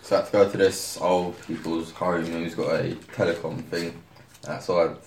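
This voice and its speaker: A young man tells his story in a relaxed, easygoing way. His voice is very deep and rough with fry. There is a faint hiss in a roomy, echoey space. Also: moderate pace. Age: 20s. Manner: laid-back, laughs through his story. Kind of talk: casual chat, storytelling. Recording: echoey room, faint noise.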